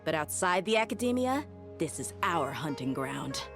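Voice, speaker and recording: An actress playing a bold, confident desert mercenary leader in a video game, casual and assertive. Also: female voice, low-pitched, sing-song, moderate pace, over music. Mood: confident, eager. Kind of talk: scripted game dialogue.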